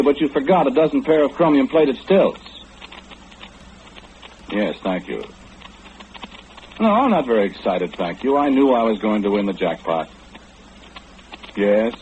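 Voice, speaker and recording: Muffled, faintly noisy vintage radio recording, genteel know-it-all, weary, chatty, hesitant, highly animated intonation, 1940s drama monologue. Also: male voice. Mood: polite, unruffled. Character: mild fussy know-it-all man, genteel, weary of omniscience.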